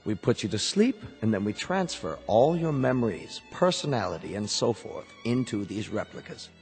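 An actor playing a smooth company salesman in a radio drama, with polished, reassuring patter. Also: on the low side, sing-song, moderate pace, darkish tone. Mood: reassuring, persuasive. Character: smooth persuasive salesman of replacement android bodies. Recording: hall reverb with music behind.

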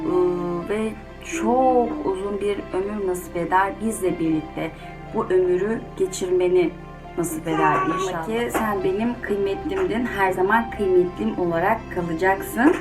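Woman kids storyteller, mid pitch, chatting gently to children w advice, music clearly behind her. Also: measured pace, poor audio. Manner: gentle advice-giving, addressing children directly. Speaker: children's storyteller and channel host. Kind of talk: children's story reading, then informal address to children. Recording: music under the voice.

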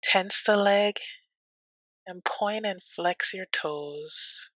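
A woman teaching yoga nidra as a guided meditation, speaking gently and soothingly with long pauses. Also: mid-range voice, dry recording.

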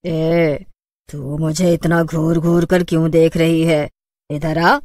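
Woman acting a cartoon witch, sly, commanding, drawling, lowered pitch, mocking. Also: level tone, takes it slowly, darkish tone, no room sound, clean studio sound. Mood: imperious, amused.

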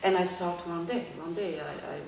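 A woman's voice: fairly low pitch, vocal fry, background noise. Middle-aged Polish woman, non-native English, hesitant; recorded far off in a big echoey garage. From Poland. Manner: halting second-language English, repeats words. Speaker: interview guest, car detailer. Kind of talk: casual interview answers. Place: large workshop garage, indoors.

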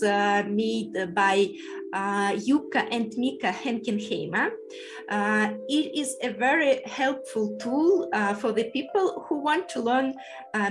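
A woman in her thirties hosting an informal tutorial, speaking deliberate, hesitant non-native English. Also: on the high side. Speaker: host of a ham radio tutorial series. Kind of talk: informal language lesson, presenting to camera. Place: small indoor room with whiteboard.

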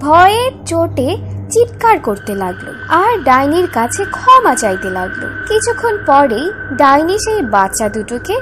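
A woman's voice: high voice, very animated, normal speed, noisy background. A woman narrating a scripted fairy tale in a dramatic storytelling style.

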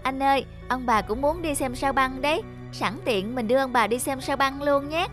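A woman's voice: high-pitched, normal speed, fairly bright tone, faint music behind. An actress playing a kind, caring young girl in a cartoon, speaking politely and earnestly. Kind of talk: animated moral tale voice acting.

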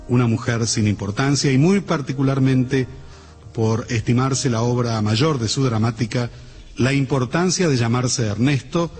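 A man with an Argentine accent gives a formal, measured, literary introduction to a radio play, reading from a script. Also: mid-range voice, a little mellow. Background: music.

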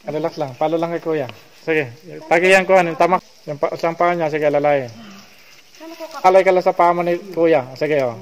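A man's voice: a little high, a little fast. A man with a Filipino accent guiding a cave tour, narrating and coaxing climbers along in mixed English and Tagalog. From Philippines. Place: inside a large cave.

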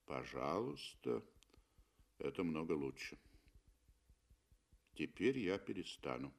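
A man's voice: very deep voice, very animated, slow pace, gravelly, pitched below the performer's own voice, full character voice. A man voicing a cool, indifferent hunter with a dry, curt, detached manner in a radio story. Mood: cold, mocking.

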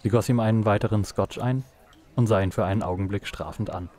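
A man's voice: fairly low pitch, steady tone, fast, a little mellow. A man reading scripted narration for a noir audio drama.

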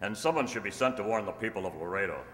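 A man's voice: on the low side, animated, fast talker, fairly bright tone. An older man playing a resolute, earnest masked cowboy hero in a staged old-time radio drama. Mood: firm. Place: stage in a hall. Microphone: stand microphone. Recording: echoey hall, noisy room ambience.

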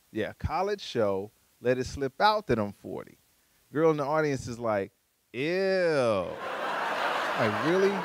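A man in his forties doing a stand-up comedy set on a small studio stage with a handheld mic, talking conversationally with playful asides and high-pitched voices for punchlines. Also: noisy background. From Chicago, USA. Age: 40s.

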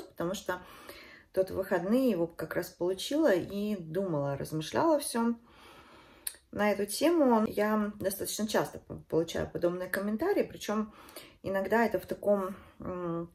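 A woman's voice: on the low side, creaky, a little mellow. A woman in her thirties chatting casually to her viewers at home, emphatic and conversational, repeating herself for stress. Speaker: beauty and lifestyle vlogger. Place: indoors at home.

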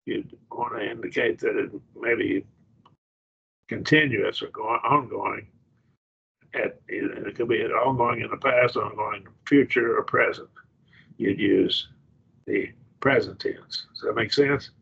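A man with an Oklahoma accent teaches grammar on a video call, his voice raspy and gravelly with a bright, tense edge. He talks in halting restarts and checks that the class follows. The call audio sounds dull and lo-fi. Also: lowish voice. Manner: halting, restarts, explains patiently and checks understanding. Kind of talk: online class lecture.

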